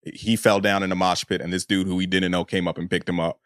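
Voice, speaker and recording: A man with a low voice discusses things as a podcast co-host. The sound is dry and close. He is thoughtful and casual, feeling his way through a point and rewording it. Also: level tone, a bit bright, clean tone, no echo. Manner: thoughtful, halting, rephrasing as he goes.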